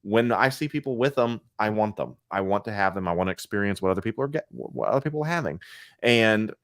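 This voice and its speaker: A man in his mid-thirties with a low voice, chatting casually about his hobby. He rambles and restarts his sentences. The sound is dry and close, with no room echo. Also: expressive, fast. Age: 30s. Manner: rambling, candid, restarts often. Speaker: co-host collector. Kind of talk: casual podcast chat.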